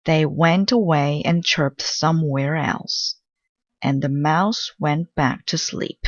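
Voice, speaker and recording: A woman with Korean as her first language, reading a children's picture book aloud slowly and gently. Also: low voice, no echo, lo-fi sound. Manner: slow, gentle, careful reading. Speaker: storybook reader.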